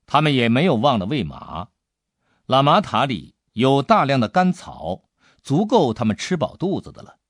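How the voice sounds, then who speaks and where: A man narrating an audiobook adventure story in an expressive, dramatic storytelling manner. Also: medium pitch, no room sound, clean studio sound.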